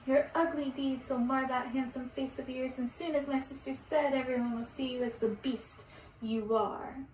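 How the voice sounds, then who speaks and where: Woman as vengeful enchantress, storybook, high pitch, solemn, commanding, distant mic. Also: steady tone, normal speed, a little mellow, reverberant, slight background noise, natural voice. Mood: solemn vengeance. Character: beautiful vengeful young enchantress cursing a prince.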